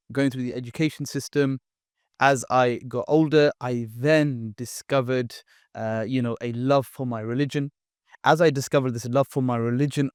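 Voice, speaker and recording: A man from the UK, a podcast guest, telling his story in a relaxed way, with fillers and restarts. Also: mid-range voice, smooth, no echo, studio quality. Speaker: guest, content creator. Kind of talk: casual podcast interview.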